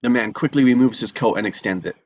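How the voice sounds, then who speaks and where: A man's voice: medium pitch, quick pace, no echo, lo-fi sound. A man reading out stage directions as narrator in a scripted table read.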